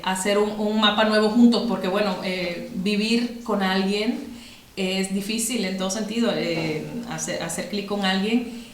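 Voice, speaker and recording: A woman's voice: mid-range voice, echoey room. A woman with a Cuban accent, a podcast guest talking reflectively with many fillers and restarts. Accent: Cuban Spanish. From Cuba. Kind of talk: conversational interview.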